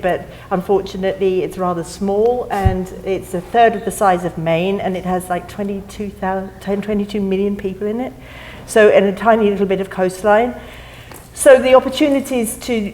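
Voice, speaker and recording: A woman's voice: mid-range voice, a little mellow. A middle-aged woman with a non-American accent, speaking as a panelist in a chatty, laughing, self-deprecating way in a theater. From not from the US. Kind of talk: panel discussion. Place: theater stage.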